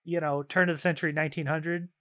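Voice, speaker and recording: A man with a slightly high voice talks as a podcast co-host. He rambles enthusiastically in loose slang, as in a casual chat about games and shows. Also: flat delivery, fast, fairly bright tone.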